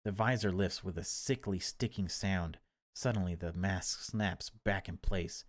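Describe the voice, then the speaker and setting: A man reading horror game text aloud as dramatic narration, in deliberate, broken phrases. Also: low-pitched, steady tone, no echo. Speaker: gaming video creator reading a visual novel aloud.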